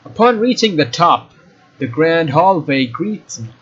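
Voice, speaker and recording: A man with a slightly high voice reads a visual novel aloud as a let's player. He is casual and expressive, slipping in informal asides. The microphone sounds a little dull, with faint noise behind him. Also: measured pace.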